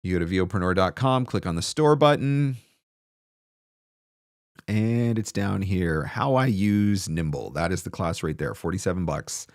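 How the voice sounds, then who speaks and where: Low-voiced man chatting on a livestream. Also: lively intonation, normal speed, no room sound, clean studio sound. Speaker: livestream host, voice-over coach. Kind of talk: casual livestream advice talk. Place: small home studio room, indoors. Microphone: close desktop broadcast microphone.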